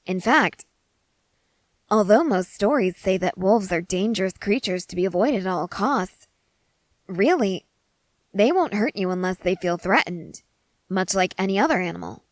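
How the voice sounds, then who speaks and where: A woman playing an excitable, curious scientist in a scripted ASMR roleplay, speaking in eager, wordy, formal and apologetic phrases. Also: mid-range voice, no echo, natural voice. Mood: explaining gently.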